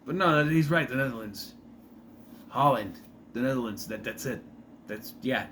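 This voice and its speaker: A man's voice: medium pitch, moderate pace, fairly bright tone, a little hiss or hum. A man casually recapping a sitcom episode, rambling, correcting himself and briefly putting on the characters' voices. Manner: rambling, self-correcting, opinionated asides; briefly mimics characters while retelling. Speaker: show recap vlogger.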